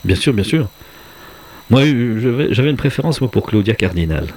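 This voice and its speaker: A man hosting a relaxed radio interview, agreeable, with lots of short affirmations. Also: medium pitch, moderate pace, slight background noise. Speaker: radio host.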